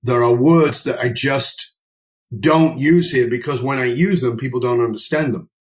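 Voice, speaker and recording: A man's voice: mid-range voice, moderate pace. A middle-aged man with a British accent, speaking conversationally as an interview guest in an emphatic, outspoken manner. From United Kingdom. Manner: emphatic, outspoken, swears casually. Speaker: podcast guest, speaking coach.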